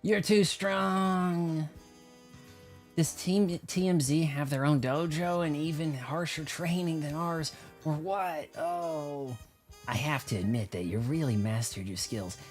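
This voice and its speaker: A man's voice: fairly high pitch, takes it slowly, faint music behind, voice pushed up. A man voicing an earnest, proud young dojo fighter as he reads video game dialogue aloud. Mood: dejected. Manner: determined, boastful.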